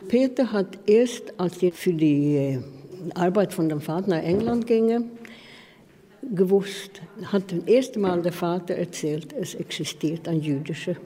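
An older woman with as her language, recalling things haltingly in broken syntax, in a reverberant room. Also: lowish voice, dark tone, slightly rough. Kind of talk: personal recollection in interview.

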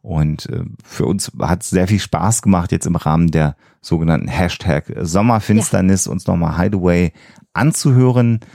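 A man with a low, gravelly voice chats casually as a podcast co-host. His tone is mellow, and he pauses often to find his phrasing. The sound is close and dry. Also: no room sound.

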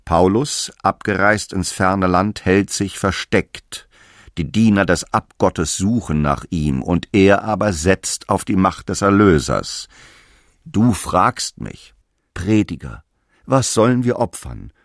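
Grave, fervent apostle in a low voice. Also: male voice, lively intonation, takes it slowly, no room sound, clean studio sound, exaggerated voice. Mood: grave, searching. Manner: declamatory, grave, exhorting. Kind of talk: solemn radio drama monologue in archaic scriptural language.

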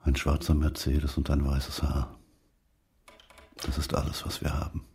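An extremely deep male voice plays a grieving, haunted man in a radio drama. He speaks in a sombre, measured and intimate way, with quiet determination. There is faint noise behind him. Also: completely flat delivery. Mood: grieving, subdued. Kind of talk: radio drama inner monologue.

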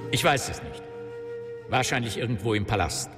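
An actor playing a solemn, ceremonial mentor who guides a young hero in a fantasy audio drama. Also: male voice, mid-range voice, lively intonation, moderate pace, close to an everyday voice. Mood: solemn, commanding. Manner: ceremonial, commanding. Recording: long hall reverb, music behind.

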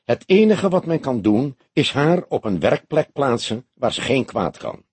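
A man reads as an older detective with a very husky, rough voice. He speaks in measured, formal phrases, calm and sceptical, in a dry, close audiobook sound. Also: mid-range voice, dry recording, pitched above the performer's own voice. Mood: sober, sceptical. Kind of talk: audiobook character dialogue.

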